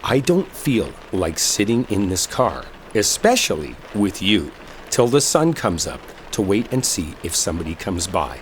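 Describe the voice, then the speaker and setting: A man's voice: low voice, a little slow, poor audio. A man acting in a roleplay audio drama as an exasperated, sarcastic guy stranded with a coworker he dislikes. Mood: resigned, impatient. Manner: sarcastic, heated, swearing. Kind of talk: roleplay audio drama, one side of an argument. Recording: steady rain noise behind voice. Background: rainstorm ambience.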